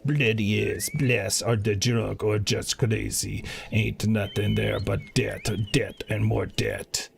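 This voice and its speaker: A man's voice: on the low side, level tone, takes it slowly, vocal fry, few pauses, slight background noise, heavily acted. Man reading as a blunt, grim dockside local. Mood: grim, scornful.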